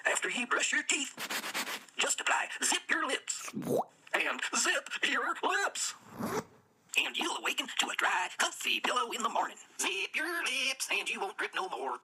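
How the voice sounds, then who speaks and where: Very bright, raspy radio pitch voice. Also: female voice, animated, normal speed, exaggerated voice, low-quality recording. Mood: salesy. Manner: salesy, exaggerated. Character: slick, cheery radio advertisement pitchman for sleepers. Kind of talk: parody radio commercial.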